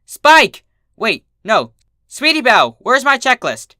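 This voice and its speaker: Woman voicing a frantic, high-pitched young pony scientist. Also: sing-song, slow, sharp tone, no room sound, studio quality, exaggerated voice. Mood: frantic, shouting. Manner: frantic, exclaiming. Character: excitable young female pony scientist, easily flustered.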